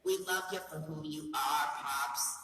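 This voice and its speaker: A woman's voice: low-pitched, measured pace, sharp tone. A woman playing a brassy, sarcastic, melodramatic mobster's wife in a comic radio play. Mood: affectionate. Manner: sassy, melodramatic, slangy scolding. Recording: noisy echoey hall, distant mic.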